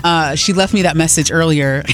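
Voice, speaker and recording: A woman's voice: deep voice, moderate pace, soft background music. A woman radio DJ chatting on air, affectionate and chatty as she answers a listener's message.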